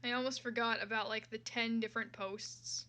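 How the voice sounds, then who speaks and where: A woman's voice: on the high side, fairly even intonation, moderate pace, sharp tone. A woman livestreamer chatting casually and reacting as she browses, with a sweary, exclaiming, self-deprecating manner. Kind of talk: casual livestream chat, reacting to fan fiction.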